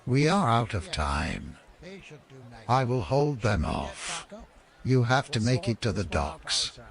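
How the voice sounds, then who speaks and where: A gravelly, mysterious mentor from a fantasy audio game. He speaks slowly and deliberately, with wide theatrical swings in pitch. His calm delivery stays cryptic throughout. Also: exaggerated voice. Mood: urgent. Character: mysterious calm male mentor recruiting a young adventurer.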